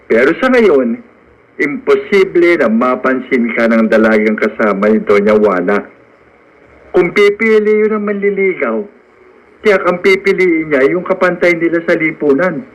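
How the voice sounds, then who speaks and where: A down-to-earth farmhand with a slightly high voice, in a radio drama. He talks frankly and skeptically, like a practical friend. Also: animated, unhurried, dull audio. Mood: teasing, realistic. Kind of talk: Filipino radio drama acting.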